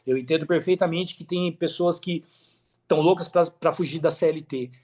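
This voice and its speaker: Brazilian male podcast guest, somewhat high register, casual, sincere, drives points home by repetition. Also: moderate pace, darkish tone. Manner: earnest, repetitive for emphasis, conversational. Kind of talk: casual motivational podcast talk.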